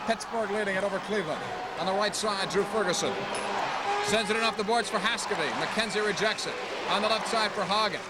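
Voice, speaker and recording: Male play-by-play announcer, high voice, clipped running calls, very noisy broadcast with loud crowd. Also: a little fast. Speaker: play-by-play commentator. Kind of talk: live indoor soccer play-by-play commentary. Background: roaring arena crowd.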